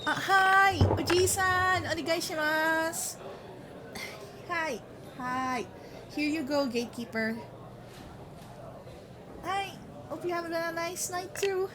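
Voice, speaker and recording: A woman's voice: extremely high pitch, slow pace, pauses often, crowd noise. A woman voicing a sweet, flustered young fox-spirit girlfriend in an ASMR roleplay, with a nervous, stammering, caring manner. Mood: polite, cheerful.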